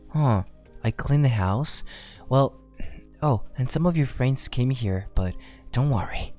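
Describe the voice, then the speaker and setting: A man playing a shy, affectionate werewolf boyfriend in a soft, hesitant romantic ASMR roleplay. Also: medium pitch, close to an everyday voice. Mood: gentle, caring. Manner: hesitant, affectionate, soft-spoken, often chuckling. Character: shy, tender male werewolf boyfriend, young adult.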